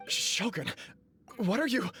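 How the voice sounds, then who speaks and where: A man playing an earnest, principled young nobleman in a video game, frank and measured. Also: high-pitched, expressive, sharp tone, faint music behind, exaggerated voice. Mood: startled. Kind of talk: dramatic video game voice acting.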